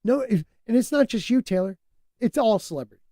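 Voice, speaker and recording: A man hosting a casual podcast, stuffed up and losing his voice, talking in an animated, opinionated way and laughing often. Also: very high-pitched, a little fast, no room sound, clean studio sound. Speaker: podcast host.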